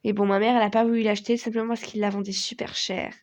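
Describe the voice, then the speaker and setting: A young woman with a hoarse voice from a cold, telling a rambling personal story to her viewers with many fillers. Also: mid-range voice, flat delivery, quick pace. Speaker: vlogger. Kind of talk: casual storytelling to camera.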